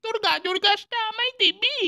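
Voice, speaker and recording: A woman voicing a sly, whining fox in a children's cartoon, with a sharp, exaggerated delivery. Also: extremely high pitch, fairly quick, creaky, a little hiss or hum, full character voice.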